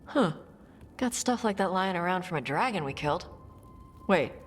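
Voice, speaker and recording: A woman playing a blunt, brash young warrior with fire magic, talking gruffly and casually in a video game cutscene. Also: on the low side, lively intonation, normal speed, slight background noise, close to an everyday voice. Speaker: party companion.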